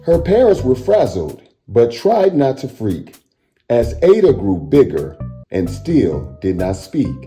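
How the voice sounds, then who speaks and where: A man, a children's storytime host, speaking playfully and directly to young listeners in an animated read-aloud style. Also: mid-range voice, slow, reverberant, soft background music.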